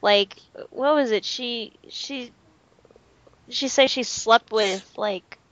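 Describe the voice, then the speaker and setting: A woman's voice: on the high side, slow pace, fairly bright tone, no room sound, muffled recording. A woman on a podcast panel, chatting casually as a fan.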